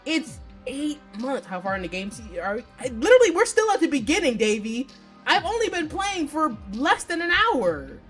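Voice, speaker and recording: A woman giving casual, slangy and profane live commentary as she plays a visual novel, exclaiming in an animated way. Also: sits high, moderate pace, soft background music. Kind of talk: casual game livestream commentary.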